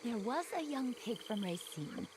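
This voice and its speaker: A woman's voice: a little high, animated, a little slow, noisy background. An actress voicing a prim woman naturalist in a game, with a proper, lilting manner that slips into recited verse. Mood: whimsical. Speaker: game character. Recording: game audio with clear outdoor ambience.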